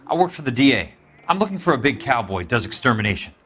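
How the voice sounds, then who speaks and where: An actor playing a tough, hard-boiled American investigator in a video game, blunt and quietly threatening. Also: male voice, mid-range voice, big pitch swings, fast talker. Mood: tough, probing.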